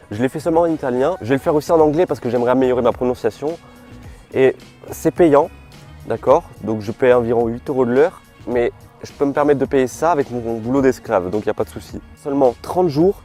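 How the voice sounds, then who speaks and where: A young French man chats to camera in a relaxed, informal way, peppered with slang. He is outdoors in a park, caught on a simple camera mic. Soft music plays faintly underneath. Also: mid-range voice. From France. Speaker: vlogger. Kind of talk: casual vlog talk to camera. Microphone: camera mic, filmed without full gear.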